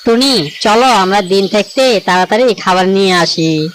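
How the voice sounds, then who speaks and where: A man voicing a gentle, reassuring male bird in a children's cartoon. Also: high-pitched, expressive, normal speed, a little hiss or hum, voice pushed up, low-quality recording. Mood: agreeable.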